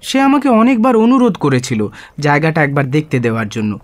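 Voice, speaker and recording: An actor playing a deferential, eager police constable in a radio drama, explaining things respectfully and excitably. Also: male voice, fairly high pitch, a little fast. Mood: sheepish, earnest.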